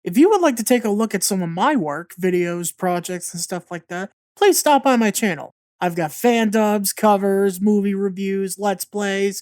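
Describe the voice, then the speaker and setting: A woman's voice: medium pitch, a little mellow, dry recording, studio quality. A woman voice actor recording a short, friendly promo for her channel. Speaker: voice actor guest.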